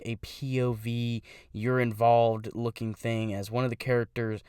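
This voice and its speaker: A man's voice: medium pitch, dry recording, clean studio sound. A young man who does voice impressions, talking casually about his channel in a rambling way, stopping to correct himself.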